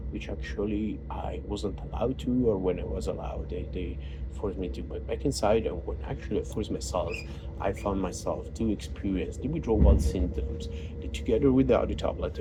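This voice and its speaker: A man with an Italian accent, a native Italian speaker, talking in a halting personal monologue full of fillers and restarts. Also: low voice. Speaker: vlogger. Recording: noisy background.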